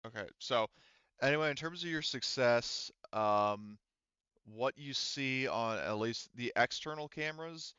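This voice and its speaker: A man's voice: medium pitch, unhurried, bright, forceful tone, clean tone, no echo, highs cut off. A man running an online tabletop roleplaying game, talking casually and hesitantly as he thinks aloud. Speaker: game master.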